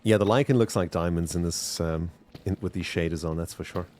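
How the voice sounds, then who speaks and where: Chatty South African man, low voice. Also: a little fast, darkish tone, slight background noise. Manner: chatty, rambling, talks to chat. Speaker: streamer. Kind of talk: casual gameplay commentary.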